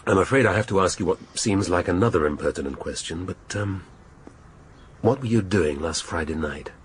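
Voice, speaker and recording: An actor playing a middle-aged police chief inspector in a radio drama, halting, awkwardly polite and melancholy. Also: male voice, sits low, a little hiss or hum, lightly acted. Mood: awkward, hesitant.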